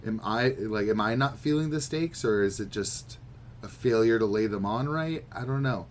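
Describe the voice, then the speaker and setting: A man's voice: fairly low pitch, moderate pace, slight background noise. A man co-hosting a podcast, chatting casually and musing with plenty of swearing. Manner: opinionated, musing, swears freely. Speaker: podcast co-host. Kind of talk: casual chat about manga.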